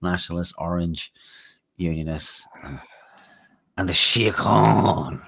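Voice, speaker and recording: A man's voice: low-pitched, unhurried, gravelly, fairly bright tone, breathy edge. An Irish man hosting a podcast in casual chat, crude and mocking, often breaking into laughter. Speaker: podcast host.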